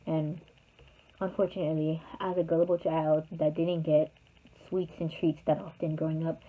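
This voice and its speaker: A woman's voice: sits low, level tone, moderate pace. Rambling young woman, tinny recording. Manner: rambling, chatty, full of "like" fillers. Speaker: vlogger. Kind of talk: casual storytime. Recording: tinny phone-like sound.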